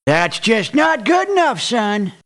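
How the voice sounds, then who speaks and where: A man's voice: extremely high pitch, very animated, slow pace, a bit bright, heavily acted. A man voicing a crusty, condescending old war veteran mercenary in a video game, with a folksy, patronizing delivery. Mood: dismissive, grumbling. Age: older. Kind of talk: video game character voice lines.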